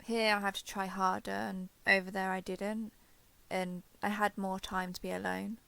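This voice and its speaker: A woman's voice: medium pitch, level tone, vocal fry, sharp tone, clean tone. A woman playing a shy, guarded villain turned reluctant ally in a roleplay audio drama, speaking hesitantly and with reserve. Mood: subdued, resigned. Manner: hesitant, halting, reserved, understated. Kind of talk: roleplay audio drama, intimate one-sided dialogue.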